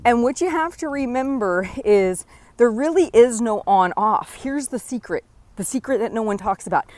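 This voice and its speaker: A woman's voice: on the high side, expressive, normal speed, darkish tone, no echo. Woman in her 40s giving a pep talk on her podcast, earnest and direct, dead dry close mic. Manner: earnest, encouraging, direct address with frequent "right?". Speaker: podcast host. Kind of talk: motivational solo talk.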